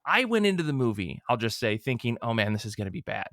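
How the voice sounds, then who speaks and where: A man's voice: mid-range voice, sing-song, fast, a bit bright, dry recording, clean studio sound. A man hosting a podcast with casual, joking banter, often cutting himself off mid-sentence. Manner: jokey, rambling, self-interrupting. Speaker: podcast host. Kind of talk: casual chat.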